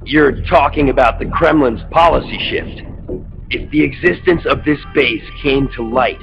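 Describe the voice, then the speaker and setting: An actor playing an earnest, steady American soldier who explains things seriously in a video game cutscene. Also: male voice, medium pitch, normal speed, gravelly, natural voice. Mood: earnest, urgent. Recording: dull lo-fi game capture. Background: loud music.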